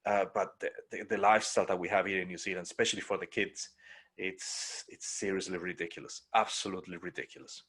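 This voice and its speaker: Lively Spanish-accented man, lowish voice. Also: quick pace. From Spain. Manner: lively, animated, many restarts and fillers. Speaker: podcast co-host, property investor. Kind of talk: casual video-call interview. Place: home room by a window. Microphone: studio mic on boom arm.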